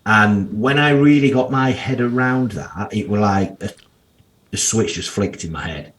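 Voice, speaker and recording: A man's voice: lowish voice, measured pace. A man in his thirties with a strong Yorkshire accent, a financial planner speaking candidly as a podcast guest, with slang and the odd curse. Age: 30s. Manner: candid, blunt, uses slang and swearing. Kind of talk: casual podcast interview.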